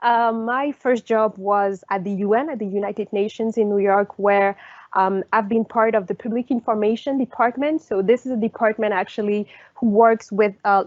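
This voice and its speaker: A woman's voice: a little high, a little mellow, no echo. A woman in her forties from Cameroon, answering interview questions in a reflective way, thinking aloud with some hesitation. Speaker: guest. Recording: dry, dull lo-fi audio.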